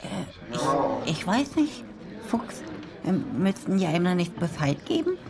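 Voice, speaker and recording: A woman voicing a meek, unsure talking hare in a children's radio play, in a husky voice. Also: fairly low pitch, wildly swooping pitch, takes it slowly, crowd noise, full character voice.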